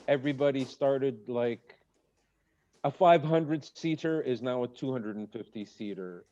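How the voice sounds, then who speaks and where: A male comedian speaks with a dark, mellow tone, sliding from easy chatter into fired-up rants. The audio is a bit dull and lo-fi, as from an online call. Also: mid-range voice. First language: English. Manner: animated, rambling storyteller with rising rants. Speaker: stand-up comedian guest. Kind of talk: conversational interview. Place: in Egypt.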